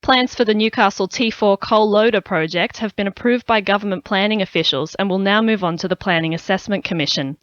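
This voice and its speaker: A woman's voice: medium pitch, a little fast, hardly any pauses, fairly bright tone, no room sound. A woman with an Australian accent reading a scripted news bulletin on the radio. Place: dry radio studio. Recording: slightly dull, lo-fi broadcast audio.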